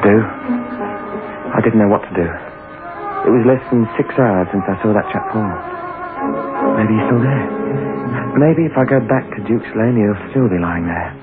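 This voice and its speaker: An actor playing an anxious, guilt-ridden petty thief who narrates a 1960s radio suspense drama in a brooding, agitated way. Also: male voice, lowish voice, normal speed, long pauses, close to an everyday voice. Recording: tinny old radio recording with music.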